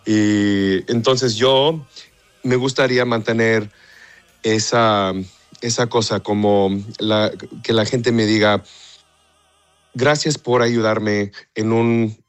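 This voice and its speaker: Halting Texan opera singer speaking Spanish. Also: male voice, fairly low pitch, steady tone, clean tone. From Texas, half Mexican. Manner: hesitant, searching for Spanish words, mixes in English. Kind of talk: interview answers.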